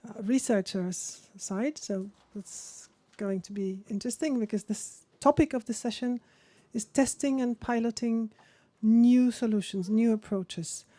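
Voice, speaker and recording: A woman's voice: on the high side, measured pace, hardly any pauses, warm tone, no echo. A woman moderating a policy workshop session, friendly and rambling, with frequent restarts. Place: conference room.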